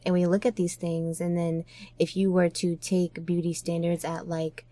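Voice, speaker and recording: A woman's voice: lowish voice, flat delivery, normal speed, mellow. A woman podcast host chatting casually and reflectively, informal and emphatic, often checking in with her listener.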